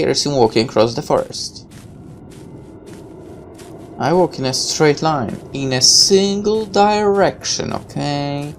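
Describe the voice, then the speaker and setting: Very low-voiced woman reading a game aloud. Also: slight background noise. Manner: irreverent, sarcastic asides. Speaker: let's player reading a visual novel aloud. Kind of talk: game playthrough, reading text aloud with offhand commentary.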